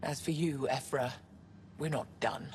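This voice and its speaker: An actress playing a stern elder alien scientist in a video game, speaking formally and bluntly with authority. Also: female voice, very deep voice, fairly even intonation, measured pace, darkish tone, a little hiss or hum. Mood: stern, indignant. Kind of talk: video game cutscene dialogue.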